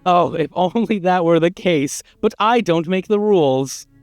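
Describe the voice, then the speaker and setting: A man's voice: sits high, animated, a little slow, clear, resonant tone, dry recording, faint music behind. A man voicing a pushy, exasperated, wordy narrator of a storybook game, with a theatrical and insistent delivery.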